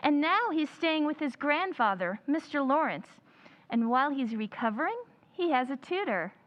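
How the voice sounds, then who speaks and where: A woman's voice: high voice, very animated, normal speed. A woman playing a proper, easily shocked young lady, the eldest sister, in an old-time radio play with prim, dramatic delivery. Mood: prim, scandalized. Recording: dull lo-fi sound.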